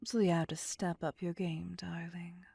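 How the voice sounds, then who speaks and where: A woman voicing a possessive, vain vampire mistress in an ASMR roleplay, breathy and often whispering, with condescending endearments. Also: lowish voice, little pitch movement, warm tone, no room sound. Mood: haughty. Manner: slow, deliberate, condescending endearments. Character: possessive, vain female vampire, commanding and seductive. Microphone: close, dry microphone.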